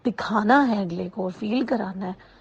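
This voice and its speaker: A woman's voice: medium pitch, measured pace, creaky. A woman giving conversational interview answers and slipping into English phrases. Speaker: amateur audio drama actress being interviewed. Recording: dull, lo-fi sound.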